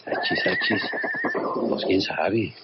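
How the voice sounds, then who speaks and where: An actor playing a roguish, jolly country bandit in a comic radio drama, with a folksy hesitant drawl and a northern Mexican accent. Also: male voice, sits low, slow pace, heavy background noise. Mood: alarmed. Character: roguish, jolly one-handed rustic Mexican male bandit. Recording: muffled radio drama under loud ambience.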